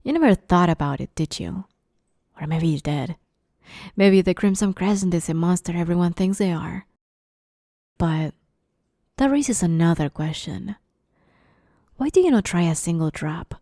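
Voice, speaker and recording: Woman acting a dark-toned, playfully sadistic female vampire. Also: fairly low pitch, a little slow, long pauses, no echo, studio quality. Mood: taunting, sinister. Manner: teasing, mockingly sweet, menacing, often hushed. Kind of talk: ASMR roleplay, dramatic monologue.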